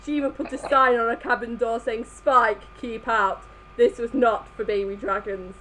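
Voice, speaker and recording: Woman with a highish voice doing a live fanfic reading, trips over words, bit of noise. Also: steady tone, moderate pace, sharp tone. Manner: stumbling, breaking up over the text. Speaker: reader in a group comedy reading. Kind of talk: live read-aloud of fan fiction.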